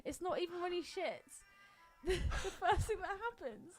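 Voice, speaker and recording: A woman co-hosting a podcast, chatting casually about films in an animated, crude-humoured, informal way. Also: very high-pitched, normal speed, soft background music. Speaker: podcast co-host. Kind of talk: casual film chat.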